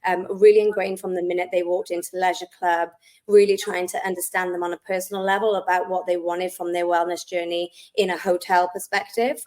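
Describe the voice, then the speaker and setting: A woman's voice: lowish voice, flat delivery, fairly quick, darkish tone. A woman from South Wales with a Welsh accent, a guest in a casual interview, talking in a friendly, chatty way with frequent ums. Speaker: interview guest, wellness business founder.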